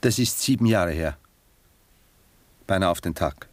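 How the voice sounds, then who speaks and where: An actor playing a cultured, melancholic gentleman poet in a German radio drama, speaking in a measured, courteous, reflective manner. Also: low voice, lively intonation, dry recording. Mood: musing.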